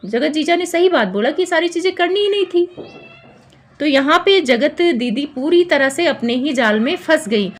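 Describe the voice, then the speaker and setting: A woman with a distinctly high-pitched voice delivers informal commentary in the manner of a gossip vlogger. She is opinionated and accusatory, recapping and judging as she goes. She often circles back and repeats her phrases for emphasis.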